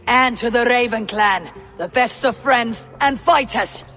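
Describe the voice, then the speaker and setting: An actress playing a proud, commanding Viking clan leader in a video game, with a measured, declamatory manner. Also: female voice, fairly high pitch, fairly bright tone. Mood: firm, steady. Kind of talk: video game cutscene acting. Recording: reverberant hall with music behind.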